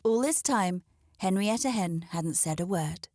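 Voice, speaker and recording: A woman's voice: mid-range voice, expressive, measured pace, a little mellow, dry recording, studio quality. A woman narrating a children's storybook aloud as a scripted read-aloud.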